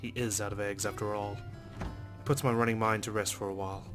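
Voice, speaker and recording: A man reads visual novel narration aloud as a let's play host, dropping in casual asides. His voice is low and flat in pitch, and he moves fast, with guitar music clearly behind him.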